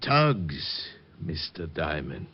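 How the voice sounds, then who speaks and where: An actor playing a wounded, dying, urbane crook in an old-time radio drama, speaking formally and deliberately in laboured theatrical tones. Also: male voice, rumbling low, big pitch swings, highs cut off, a little hiss or hum, heavily acted. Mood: grave, instructive. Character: dying, urbane crooked dealer in stolen art, male.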